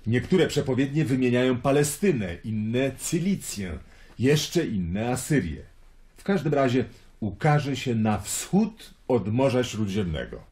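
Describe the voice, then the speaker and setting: An actor in a radio drama playing a worldly Roman traveler fascinated by prophecy, speaking in a wry, eloquent storytelling manner. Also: male voice, mid-range voice, big pitch swings, a little slow, darkish tone. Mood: earnest, persuasive.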